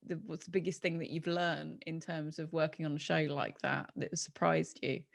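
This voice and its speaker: A woman's voice: sits low, little pitch movement, normal speed, vocal fry. A woman hosting a conversational interview, talking in an enthusiastic, affirming way. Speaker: podcast host and interviewer.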